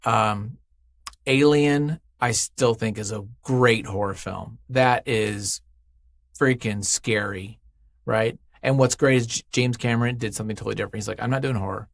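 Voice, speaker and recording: A man's voice: lowish voice, normal speed, vocal fry, no room sound. Effusive man chatting casually, dry sound. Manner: effusive, emphatic, thinks aloud. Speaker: interview guest, writer. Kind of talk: casual, unscripted chat.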